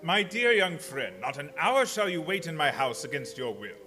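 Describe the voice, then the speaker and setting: An actor playing Count Dracula, a sinister, courtly vampire with a formal, theatrical delivery in a radio play. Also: male voice, a little high, big pitch swings, normal speed, pushed and bright. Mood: mock-polite menace.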